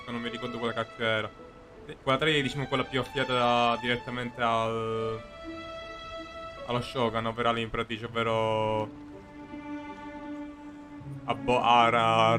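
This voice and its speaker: A man giving casual, rambling game commentary, halting and correcting himself as he goes. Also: mid-range voice. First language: Italian. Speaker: game streamer. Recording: game music clearly behind voice.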